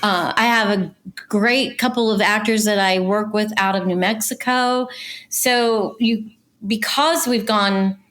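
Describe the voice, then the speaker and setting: A woman's voice: medium pitch, fairly even intonation, unhurried, fairly bright tone. A middle-aged woman talking casually and conversationally as a guest casting director in an interview.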